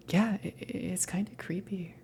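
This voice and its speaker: A woman playing a friendly, awkward alien salvage worker in a whispered ASMR roleplay, rambling casually in a soft, breathy, halting way. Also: fairly low pitch. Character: friendly big-headed, tailed alien salvage crew member, awkward, easygoing.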